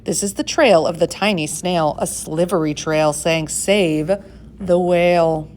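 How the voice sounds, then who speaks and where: Woman w lowish voice doing singsong storytime read aloud, dramatic, bit of noise behind. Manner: measured, singsong, dramatic emphasis. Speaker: storybook reader for schoolchildren. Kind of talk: expressive read-aloud of rhyming picture book.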